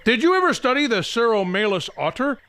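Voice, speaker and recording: A man's voice: very high-pitched, big pitch swings, brisk, bright, forceful tone, exaggerated voice. A man playing a fussy, pedantic middle-aged professor in an audio drama. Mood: breezy, pedantic. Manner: chatty, pedantic. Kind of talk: audio drama acting of a 1930s mystery.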